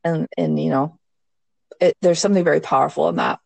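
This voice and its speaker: A woman guest in a casual mindfulness chat, candid and quick to laugh. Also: sits low, creaky, darkish tone, no room sound. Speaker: podcast guest, mindfulness teacher. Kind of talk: casual conversation.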